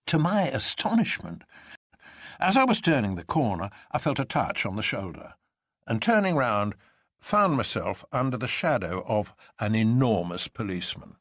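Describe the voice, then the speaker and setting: A man's voice: mid-range voice, very animated, moderate pace, fairly bright tone, no room sound, studio quality. A man narrating a story expressively from a script, as a storyteller reading dramatic fiction.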